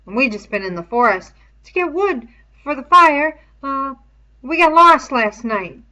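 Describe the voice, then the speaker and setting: A woman's voice: sits high, animated, a little slow, sharp tone, voice pushed up, heavily acted, low-quality recording. A woman voicing a sheepish, evasive twin schoolboy in a storybook, nervously repeating himself. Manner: stifled, nervously repetitive. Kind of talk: storybook read-aloud character voice.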